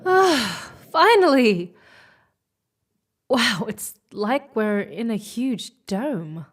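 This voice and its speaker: A woman playing a determined, hopeful stowaway pilot, deliberate and commanding, in a sci-fi audio drama. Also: on the high side, big pitch swings, exaggerated voice. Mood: relieved.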